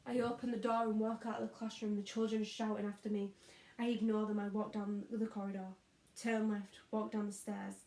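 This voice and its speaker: A woman's voice: a little high, vocal fry, mellow. A woman playing a weary, disillusioned young schoolteacher in an introspective, confiding stage monologue. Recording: echoey room.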